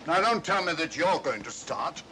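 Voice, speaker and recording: Casting: prickly academic, slightly high voice, lively pitch, impatient and formal, old film soundtrack. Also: male voice, normal speed, slight background noise. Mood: irritated. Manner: curt, formal, irritable. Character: prickly, aloof male mathematics professor, impatient with police. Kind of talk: 1940s mystery film acting.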